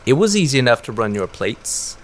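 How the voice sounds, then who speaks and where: An actor playing a nosy small-town fish and wildlife officer with a folksy, needling manner in an audio drama. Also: male voice, mid-range voice, very animated, a little fast, close to an everyday voice. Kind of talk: naturalistic audio drama dialogue.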